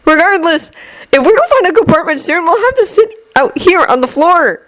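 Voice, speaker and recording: A woman voicing a small, polite first-year schoolboy with a high voice. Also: big pitch swings, normal speed, fairly bright tone, full character voice, poor audio.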